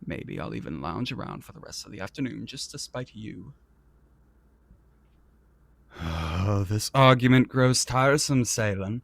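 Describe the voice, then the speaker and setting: Man playing a haughty, creaky-voiced fantasy prince. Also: fairly low pitch, measured pace, long pauses. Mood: petulant, indignant. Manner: pompous, theatrical, elaborately worded and condescending. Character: arrogant, vain, petulant fantasy prince, haughty and witty. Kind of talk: scripted ASMR roleplay audio drama.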